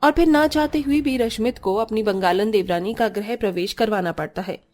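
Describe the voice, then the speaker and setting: A woman's voice: mid-range voice, brisk, darkish tone. A woman reading scripted narration for a story as its narrator.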